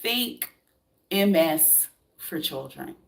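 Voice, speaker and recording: Blunt gravelly woman in her thirties. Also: sits low, animated, extremely slow. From United States. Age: 30s. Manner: blunt and preachy, with rhetorical questions and casual swearing. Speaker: vlogger. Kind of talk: candid motivational talk to camera.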